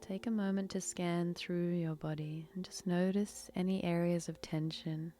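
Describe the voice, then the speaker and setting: Soft, low-voiced woman guiding meditation. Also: steady tone, soft background music. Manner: soft, breathy, unhurried delivery with long pauses. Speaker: guided meditation instructor. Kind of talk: guided meditation, soothing instruction.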